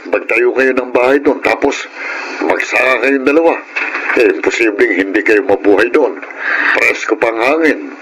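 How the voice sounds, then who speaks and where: An actor playing a plain-spoken, solicitous farmer uncle in a radio drama, asking probing questions. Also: male voice, medium pitch, moderate pace, background noise, natural voice. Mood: probing concern.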